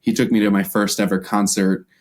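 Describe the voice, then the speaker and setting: A man's voice: fairly low pitch, level tone, brisk. An American man speaking as a podcast guest, casually recounting his background in an interview. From United States. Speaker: podcast guest, violinist and music teacher.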